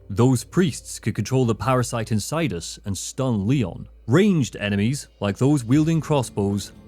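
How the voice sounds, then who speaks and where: A man narrating a scripted video essay as its host, with dramatic, deliberate phrasing. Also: mid-range voice, a little mellow. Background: faint background music.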